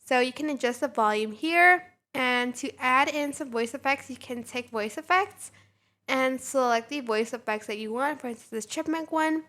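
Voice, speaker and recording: A woman hosting a casual software tutorial, talking in an informal, chatty way. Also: high-pitched, normal speed. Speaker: tutorial host.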